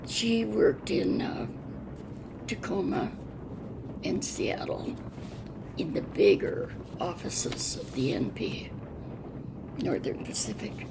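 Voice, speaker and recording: An American woman in her eighties or older recalls her past off the cuff, in a slow, halting delivery. Her voice is gravelly and plain-spoken, and she pauses reflectively mid-sentence. The recording has clear background noise. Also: mid-range voice, lively intonation. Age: over 80. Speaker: interviewee, retired railroad telegrapher. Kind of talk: unscripted oral-history reminiscence.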